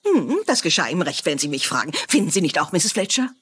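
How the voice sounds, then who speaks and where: An actress playing a chatty, gossipy small-town nurse and housekeeper with dramatic storytelling in a dubbed drama. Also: female voice, on the low side, big pitch swings, fast talker, a bit bright. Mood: scandalized gossip. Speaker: actor in a dubbed mystery series.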